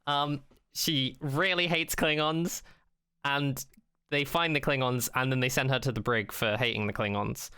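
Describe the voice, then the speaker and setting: A man's voice: mid-range voice, fairly even intonation, measured pace, pushed and bright, clean tone, no room sound, studio quality. A man co-hosting a podcast, chatting casually and jokingly with blunt, comically exaggerated emphasis. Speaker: podcast co-host. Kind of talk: casual, joking chat about sci-fi television.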